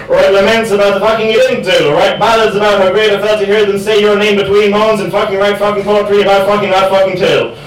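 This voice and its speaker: High-pitched man performing poetry, very echoey. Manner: emphatic, profane delivery. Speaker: poet. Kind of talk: spoken word poetry performance. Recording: echoey room, far mic, faint noise.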